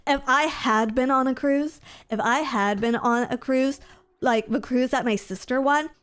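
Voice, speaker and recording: Slow, chatty, sniffly young woman. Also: sits high, fairly bright tone, clean tone. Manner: chatty, giggly, rambling with many fillers. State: sniffly from allergies. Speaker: streamer. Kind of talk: casual chat with viewers.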